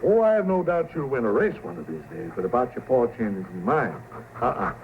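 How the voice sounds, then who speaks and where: A man's voice: mid-range voice, animated, fairly quick, a little hiss or hum, close to an everyday voice. An actor playing a confident, fatherly male sled-dog racer with a folksy, good-natured manner in an old-time radio drama. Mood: hearty, fatherly. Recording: dull old radio recording with music.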